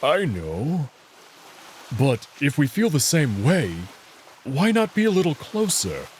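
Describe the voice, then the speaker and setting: A man's voice: a little high, huge pitch swings, takes it slowly, slight background noise, heavily acted. A man voicing a big wolf in a visual novel, evasive and wistfully romantic, with hesitant, understated delivery. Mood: wistful, pleading.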